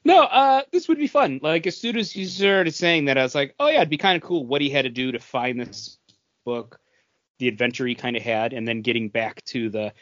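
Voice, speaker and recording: A man's voice: medium pitch, brisk, fairly bright tone, no echo, dull audio. A man co-hosting a podcast in casual chat, rambling through his ideas. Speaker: podcast co-host.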